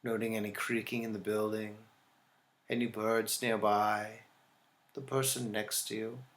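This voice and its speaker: A man teaching yoga nidra, leading a guided relaxation in a calm, instructional manner with deliberate pauses. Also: fairly low pitch.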